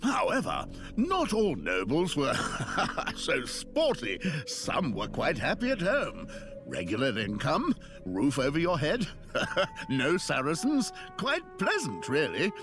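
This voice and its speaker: An actor playing a chatty, drily amused priest telling rambling stories in a video game. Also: male voice, fairly high pitch, wildly swooping pitch, takes it slowly, music in the background, full character voice. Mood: wryly amused. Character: chatty, wry priest and shepherd of a church. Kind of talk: video game character dialogue.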